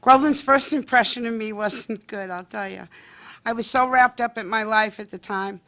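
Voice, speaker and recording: A woman's voice: on the high side, normal speed, a bit bright. A woman from Massachusetts with a big voice, a candidate at a local forum, speaking in a self-assured, joking manner. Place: public candidates forum in a meeting hall.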